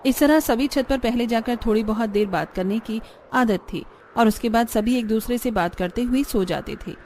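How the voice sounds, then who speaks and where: A woman's voice: a little high, moderate pace, a little mellow, a little hiss or hum. A woman reading scripted narration for a cartoon story.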